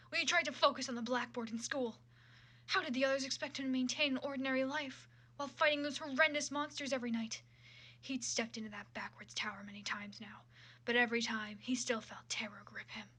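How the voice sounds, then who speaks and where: A woman's voice: on the high side, pushed and bright. A woman reading fan fiction aloud with casual, irreverent and sometimes profane commentary.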